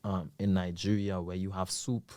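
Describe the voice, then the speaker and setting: A man with a very low voice and a Trinidadian accent, chatting as an interview guest. He explains things in a relaxed, rambling way with many fillers. The recording is dry and close, with no room sound. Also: moderate pace, dry recording. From Trinidad and Tobago. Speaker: guest, spoken word artist. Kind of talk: casual interview chat.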